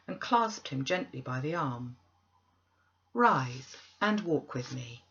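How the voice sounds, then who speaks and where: A woman narrating a classic tale as a storyteller, with expressive, deliberate, dramatic phrasing. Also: sits low, slightly rough, lo-fi sound.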